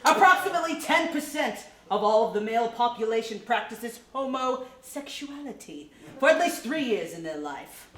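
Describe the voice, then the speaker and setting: A woman's voice: fairly high pitch, sing-song, moderate pace, hardly any pauses, a bit bright. A woman playing a blunt, chatty know-it-all radio performer in a staged reading. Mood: smug, needling. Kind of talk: stage acting. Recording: very echoey hall, distant mic, faint noise.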